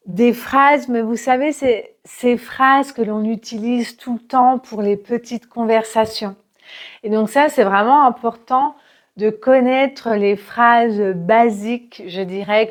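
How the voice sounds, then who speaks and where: A woman teaching her language in a relaxed spoken lesson, patient and full of small hesitations. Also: on the high side. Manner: slow, patient, with frequent hesitations and fillers. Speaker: French teacher.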